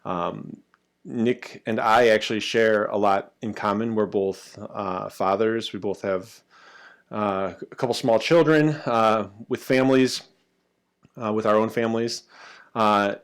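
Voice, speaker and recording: American man, 30s, casual testimonial, halting with lots of fillers, slightly low pitch. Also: smooth. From United States. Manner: hesitant, many ums and uhs. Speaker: language student giving a testimonial.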